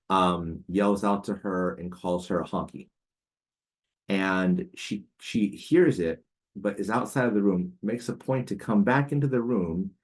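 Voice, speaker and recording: A man's voice: low voice, darkish tone. A man in his forties, a podcast guest, telling a personal story in a casual, hesitant way with many fillers and restarts. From United States.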